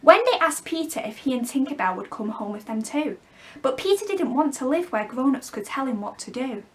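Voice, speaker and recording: A woman storyteller reading a children's story expressively, with clear phrasing for young listeners. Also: high-pitched, very animated, fairly quick. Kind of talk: expressive scripted storybook narration.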